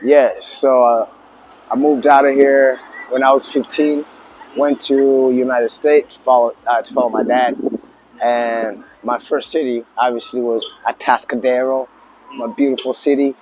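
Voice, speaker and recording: A man in his forties from Tanzania, a lodge owner speaking as an interview guest in a relaxed, halting way with many fillers and restarts. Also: mid-range voice, a little hiss or hum, poor audio. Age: 40s. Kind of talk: casual interview talk.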